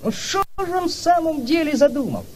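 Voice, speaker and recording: An actor playing a bold, mischievous young Cossack, exclamatory and teasing in theatrical radio drama style. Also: male voice, extremely high pitch, sing-song, brisk. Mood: rousing, mischievous. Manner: exclamatory, teasing, hot-headed.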